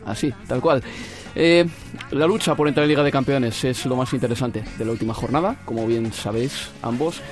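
A man hosting a sports talk show, moderating with a light, joking touch. Lively and engaged, with faint music playing behind him. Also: mid-range voice, brisk. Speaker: host.

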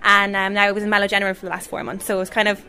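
Fast-talking young woman. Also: mid-range voice, monotone, pushed and bright. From Ireland. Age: young adult. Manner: rambling, many fillers. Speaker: hospital worker, pageant contestant. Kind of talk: casual interview chat.

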